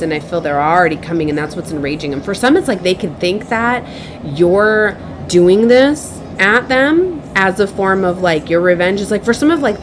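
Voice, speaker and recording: A woman giving an improvised spiritual message as a tarot reader, speaking in a halting, repetitive, earnest and cautioning way. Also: fairly low pitch, noisy background. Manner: halting, repeats herself, circles back.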